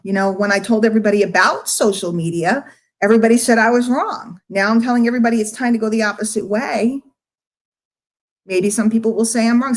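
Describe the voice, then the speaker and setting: A middle-aged woman speaking casually to her online followers as a marketing coach, candid and rambling, with emphatic points made straight to the listener. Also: fairly high pitch. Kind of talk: casual live-stream chat to followers.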